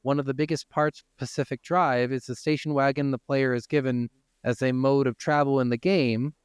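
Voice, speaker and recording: A man's voice: medium pitch, fairly even intonation, moderate pace, clean tone, no echo, clean studio sound. A man hosting a casual gaming podcast, moving between informal, sweary chat and reading from notes. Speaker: podcast host.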